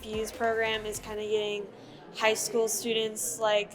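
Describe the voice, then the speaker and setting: A teenage female high school student giving casual interview answers. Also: completely flat delivery, measured pace. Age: teens. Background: background music.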